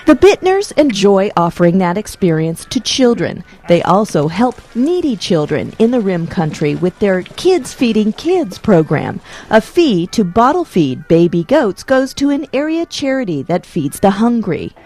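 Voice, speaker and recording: An American woman voices a scripted television feature as its reporter. Her pitch is average for a woman and moves up and down in a lively way. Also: a little slow, faint music behind. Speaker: reporter narrator.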